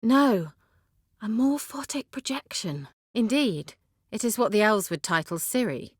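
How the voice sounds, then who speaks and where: An actress playing a self-assured, coy and haughty young sorceress in a video game, with articulate, teasing, theatrical delivery. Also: female voice, medium pitch, lively intonation, unhurried, slightly rough, dry recording, studio quality. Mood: composed, matter-of-fact. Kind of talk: video game character acting.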